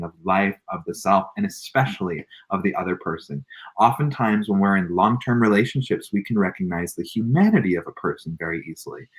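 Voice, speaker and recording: A man in his twenties, a guest on an online radio show, explaining a tarot card in a relaxed, lively way and laughing often. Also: lowish voice, poor audio. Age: 20s. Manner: animated, laughs often, warm spiritual explanations. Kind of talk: casual online radio chat, tarot reading.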